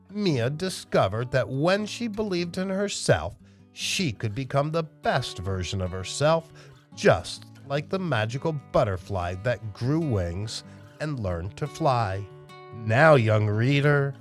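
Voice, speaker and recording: A man reading aloud as a children's storytime host, animated and emphatic as he addresses young listeners. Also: fairly high pitch. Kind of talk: expressive children's read-aloud narration.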